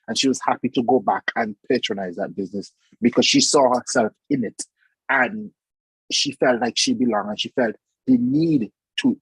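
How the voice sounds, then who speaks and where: Gravelly, husky man, sleepy. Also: medium pitch, dry recording, poor audio. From Saint Vincent. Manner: reflective, earnest, conversational. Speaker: panelist. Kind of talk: online panel discussion. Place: at home in the UK late at night. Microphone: video call.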